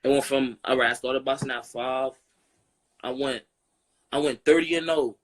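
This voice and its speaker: A man's voice: medium pitch, fairly even intonation, normal speed. Laid-back New York man, casual talk. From Brooklyn, New York. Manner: laid-back, often adds "you feel me?". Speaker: boxer, interview guest. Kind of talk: casual interview chat.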